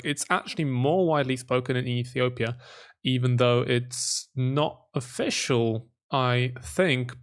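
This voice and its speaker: A man's voice: medium pitch, a bit bright. A young man hosting his own channel, thinking aloud as he works through a language quiz and spelling out letters while he guesses.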